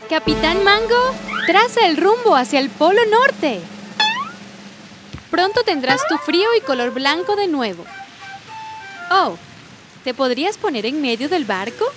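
A woman voicing a bright, take-charge young girl explorer in a children's cartoon, explaining earnestly. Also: very high voice, sing-song, measured pace, pauses often, full character voice. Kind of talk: animated children's cartoon dubbing. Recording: loud music behind the voice.

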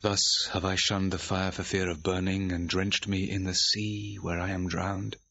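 A creaky-voiced lovesick young gentleman. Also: low-pitched, level tone, unhurried, a little hiss or hum, exaggerated voice. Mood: rueful, lamenting. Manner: declamatory, lamenting. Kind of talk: classical verse drama soliloquy. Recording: dull, band-limited vintage audio recording.

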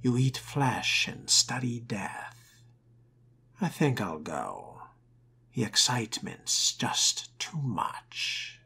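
A man role-playing a courteous, dry-witted fledgling vampire in a video game, talking in a polite, breathy murmur. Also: medium pitch, sing-song, very slow, sharp tone, in a whisper, full character voice. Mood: dry, wry.

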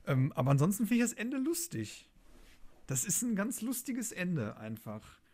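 A man with a fairly high voice talks casually as part of a podcast panel. He sounds jovial and informal, with a loose, unscripted flow. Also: wildly swooping pitch, normal speed, darkish tone. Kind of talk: casual chat.

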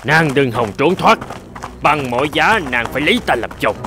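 Man as vengeful old sage, gloating, wheedling, animated pitch, cartoon. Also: moderate pace, faint music behind. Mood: bitter. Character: scheming old male sage, spurned and vengeful. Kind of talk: cartoon fairy tale voice acting.

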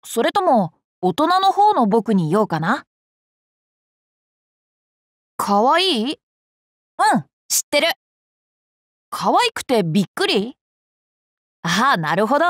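A young boy king from an anime game, high and very animated in pitch. He is cheerful and curious, with a polite, playfully confident way of talking. The sound is close and dry. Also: takes it slowly, long pauses, no room sound, heavily acted. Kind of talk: Japanese anime game character lines.

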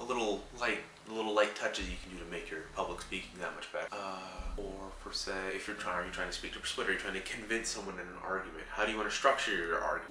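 A young man vlogging casually to camera, a little raspy from a cold, rambling with many fillers in a small room at night. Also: sits low, pushed and bright. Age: young adult. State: recovering from a cold, slightly raspy. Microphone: camera a few feet away. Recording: roomy, distant mic, faint buzzing hum.

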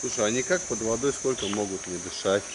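A man's voice: fairly low pitch, brisk, background noise. A man chatting casually outdoors, a little off the camera. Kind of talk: casual chat. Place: outdoors at a crocodile farm.

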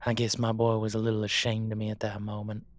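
A man's voice: lowish voice, close to an everyday voice. A man voicing a vengeful, taunting monster hunter with a menacing drawl in an audio roleplay.

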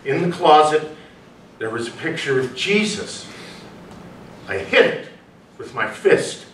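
A man's voice: a little high, very animated, slow pace, a bit bright, exaggerated voice. An older man playing a troubled, pious recluse in a staged play reading, formal and declamatory in manner. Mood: sorrowful. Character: troubled, pious, reclusive suspect, brooding and grandiose. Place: small indoor venue, before a seated audience. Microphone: far camera. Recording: roomy distant stage recording with noise.

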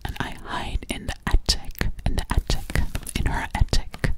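A young woman whispering close to the microphone in an ASMR style, playing an alien girl and lingering repetitively on single words. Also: moderate pace. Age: young adult. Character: alien girl hiding in a stranger's house. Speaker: ASMR performer. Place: small bedroom, indoors.

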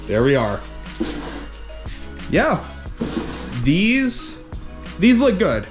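A man from Canada casually unboxing and installing gear in his home gym, chatty and relaxed, with small restarts as he works. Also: sits high, extremely slow, music in the background. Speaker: fitness vlogger.

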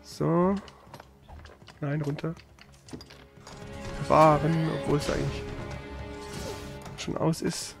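High-pitched man with creaky voice, gaming chat. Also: level tone, slow, pauses often, music in the background. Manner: colloquial, slangy, mild swearing. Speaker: let's play streamer. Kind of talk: casual gameplay commentary and chat.

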